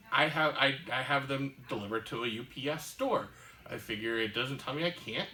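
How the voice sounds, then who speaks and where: A man's voice: mid-range voice, bright, forceful tone, low-quality recording. A man co-hosting a casual podcast, telling a long story with many "um"s and "uh"s. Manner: rambling storyteller with many fillers. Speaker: podcast co-host. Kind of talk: casual chat.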